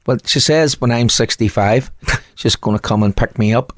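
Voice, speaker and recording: A man's voice: medium pitch, moderate pace, a crowd in the background. Cheerful man in his sixties. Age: 60s. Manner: cheerful, enthusiastic, laughs easily. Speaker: guest, the host's father. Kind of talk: casual chat.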